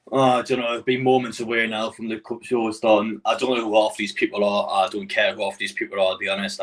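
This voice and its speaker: A man's voice: fairly low pitch, far from the mic, low-quality recording. A man from Newcastle with a Geordie accent, hosting a casual live stream and chatting with his viewers in a rambling way. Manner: chatty, rambling, answers chat messages.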